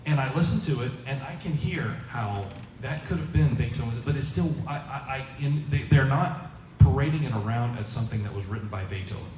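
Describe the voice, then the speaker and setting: Presenter answering questions, stop-start delivery, recorded far off in a roomy hall with noise. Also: male voice, medium pitch. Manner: halting, many restarts, animated bursts. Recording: echoey room, distant mic, noise.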